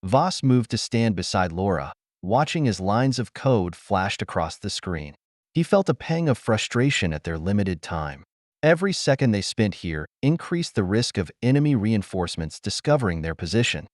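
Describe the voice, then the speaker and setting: Male audiobook narrator, low pitch, animated intonation, dramatic sci-fi storytelling, earnest. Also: normal speed, no room sound, clean studio sound. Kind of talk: scripted narration of science fiction adventure.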